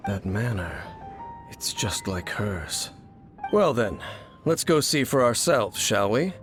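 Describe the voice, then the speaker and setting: An actor playing a mysterious, guarded wandering swordsman who speaks in a measured, solemn and formal way. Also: lowish voice, big pitch swings. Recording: game audio with soft music.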